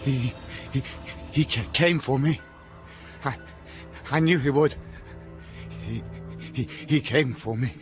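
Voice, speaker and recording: An actor playing a terrified gentleman who is coming apart, pleading and stammering in a radio drama. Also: medium pitch, slow, pauses often, soft background music, natural voice. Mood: broken terror. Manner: stammering, panicked.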